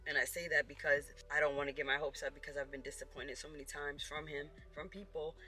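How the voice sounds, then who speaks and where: A woman with a sharply bright, strained voice speaks over clear music. She is vlogging something deeply personal, earnest and exposed, and sounds emotional and close to tears. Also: level tone, fast. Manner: earnest, vulnerable. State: emotional, tearful. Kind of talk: emotional personal confession to viewers.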